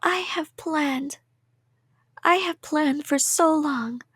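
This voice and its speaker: A high, unhurried voice, very close and dry: an obsessed young yandere woman, performed by a voice actress in an ASMR roleplay. She rambles coyly, making threats sound sweet and affectionate. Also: a bit bright, no room sound. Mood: possessive, unhinged. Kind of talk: intimate ASMR roleplay acting.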